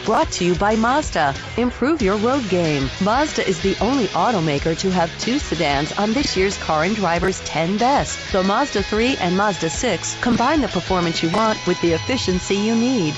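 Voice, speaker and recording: A woman voices a scripted radio spot over clearly audible guitar music. Her pitch is average and the sound is a bit dull and limited. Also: animated, normal speed, few pauses. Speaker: advert voice-over.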